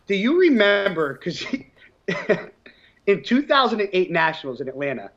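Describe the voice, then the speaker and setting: Brief: man, 30s, from New Jersey, a pro bodybuilder guest, fairly high voice, blunt and profane, a bit muffled. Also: normal speed. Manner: blunt, swears often, animated storytelling. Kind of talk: casual interview chat.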